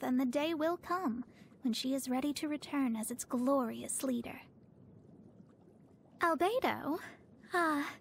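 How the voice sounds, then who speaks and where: High-pitched, haughty young astrologer. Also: female voice, a little hiss or hum. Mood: grave, measured, then wry, envious. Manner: formal, pompous, precise wording. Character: proud young woman astrologer, haughty, scholarly, easily flustered. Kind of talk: theatrical video game character voice lines.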